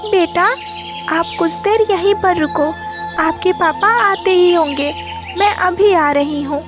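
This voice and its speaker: A woman's voice: extremely high pitch, slow pace, music in the background, pitched above the performer's own voice. A woman voicing an anxious, devoted mother songbird in a cartoon moral story, with a tender, pleading manner.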